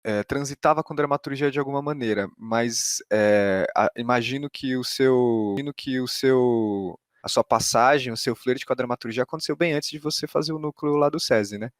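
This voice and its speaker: A man's voice: mid-range voice, normal speed, hardly any pauses, dry recording, clean studio sound. A Brazilian man hosting a podcast interview in a casual, conversational style.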